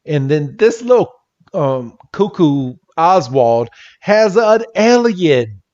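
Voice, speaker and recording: A man co-hosting a podcast in a casual, halting recap style, acting out lines as he goes. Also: fairly high pitch, very animated, a little mellow, lo-fi sound. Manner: halting, acts out characters' lines. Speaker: podcast co-host. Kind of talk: casual episode recap chat.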